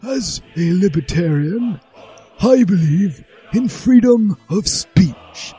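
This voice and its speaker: A man voicing a smug old headmaster who makes slow, pompous, self-justifying speeches in a comic story reading. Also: high-pitched, animated, mellow, husky, pitched above the performer's own voice, full character voice. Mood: pompous, measured. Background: music.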